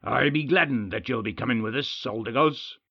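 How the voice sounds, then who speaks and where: A man voicing a gruff, hearty male dwarf king in an audiobook, speaking in a folksy dwarvish dialect, jovial and bossy. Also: mid-range voice, a little fast, dry recording, lo-fi sound. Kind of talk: audiobook character voice.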